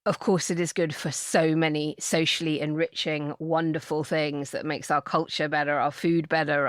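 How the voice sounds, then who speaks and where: A woman's voice: low voice, no echo, clean studio sound. A woman hosting a podcast, interviewing in a chatty, encouraging, conversational style. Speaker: podcast host.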